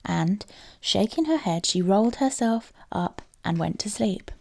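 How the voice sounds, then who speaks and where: A woman narrates a fairy tale in a storyteller's manner. Her pitch is average for a woman but moves in an animated way. The voice has a noticeable creak. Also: measured pace. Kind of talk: expressive fairy tale reading.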